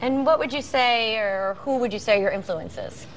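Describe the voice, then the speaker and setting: A woman's voice: a little high, normal speed, slight background noise, rough recording. A woman hosting a talk show in a television studio, moving between light scripted introductions and chatty interviewing.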